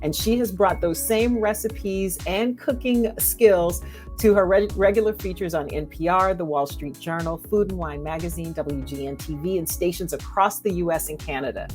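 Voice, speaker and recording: Low-voiced woman hosting a podcast. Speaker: podcast host. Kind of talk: interview hosting.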